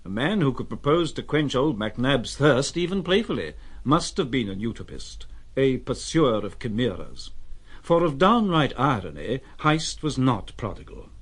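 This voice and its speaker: A man's voice: medium pitch, sing-song, normal speed, husky. A man narrating a literary audio drama as a scripted storyteller.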